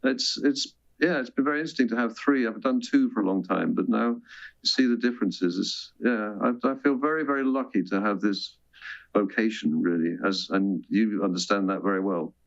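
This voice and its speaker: A man's voice: fairly low pitch, normal speed, creaky. A man talking casually as an interview guest, a composer and choir director who often restarts and corrects himself, heard over a muffled remote link. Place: remote video link from London. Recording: dull, muffled remote-call audio.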